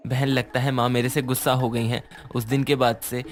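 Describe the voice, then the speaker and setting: Woman acting as young cartoon boy, low-pitched voice, petulant, soft-hearted, faint music behind. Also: level tone, a little fast. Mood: guilty, downcast. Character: sulky, soft-hearted young student son and brother. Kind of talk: animated moral story voice acting.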